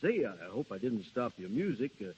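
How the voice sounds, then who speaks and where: An earnest, well-mannered gentleman suitor in a 1930s radio drama. The delivery is halting and courteous but swings widely in pitch, with a husky edge on a dull old recording. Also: very animated. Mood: shy, courteous. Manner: polite, hesitant, halting. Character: mild, awkward, earnest male suitor, well-mannered millionaire.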